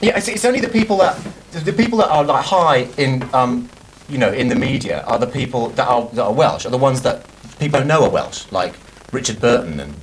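A young man hosting a live TV music show, chatting loosely with many restarts and quick laughs. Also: medium pitch, sing-song, a little hiss or hum, low-quality recording. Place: indoor TV studio set styled as a cafe.

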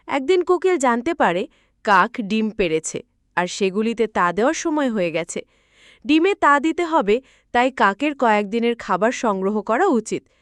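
A woman's voice: on the high side, dry recording, studio quality. A woman narrating a scripted children's story, expressively, as if speaking to young listeners.

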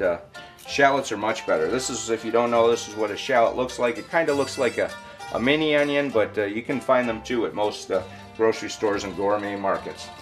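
A man hosting a home cooking show, explaining each step casually as he works in his kitchen. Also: mid-range voice. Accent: Detroit. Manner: chatty, explains steps as he works.

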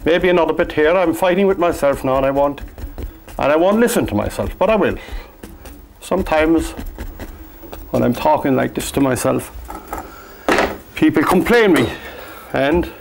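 A man's voice: a little high, normal speed, vocal fry, long pauses, a little hiss or hum, low-quality recording. An older man with a Cork Irish accent teaching a painting class in a studio, chatty and encouraging, clipped to a lapel mic. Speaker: painting instructor. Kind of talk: on-camera painting lesson. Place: studio with easel and backdrop.

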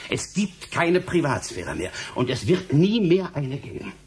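An actor playing a stern, exasperated government official in a radio play, lecturing in an emphatic, theatrical, rhetorical way. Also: male voice, a little high, big pitch swings, a little slow, darkish tone, a little hiss or hum. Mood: indignant, warning. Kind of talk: German radio play acting.

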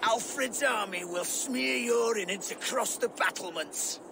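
An actor playing a proud, defiant middle-aged Saxon lord in a video game, with pompous, declamatory delivery. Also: male voice, high-pitched, a little slow, vocal fry, noisy background. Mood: defiant rage.

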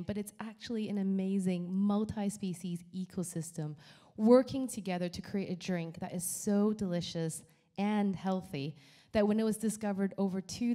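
A woman's voice: on the low side, mellow. A middle-aged woman from Canada, a company founder giving an enthusiastic prepared talk on stage into a handheld microphone. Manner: enthusiastic, with small restarts. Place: event venue with brick wall and audience.

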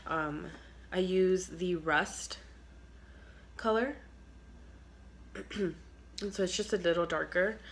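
Young woman, low voice, sick and congested, casual chatty beauty vlogger on a phone, trails into whispers. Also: takes it slowly, long pauses, slight background noise. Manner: chatty, filler-heavy, trails off to near whispers. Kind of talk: casual makeup tutorial chat. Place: small bedroom at home. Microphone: phone camera at arm's length.

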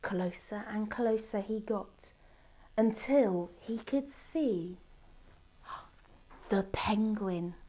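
A middle-aged woman reading a children's storybook aloud expressively, with deliberate dramatic pauses. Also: mid-range voice, mellow. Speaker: storybook reader. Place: indoor room by a Christmas tree. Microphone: camera a short distance away.

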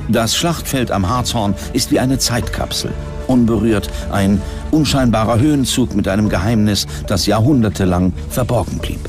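A man reading scripted documentary narration in a measured, dramatic storytelling manner. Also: fairly low pitch. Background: background music.

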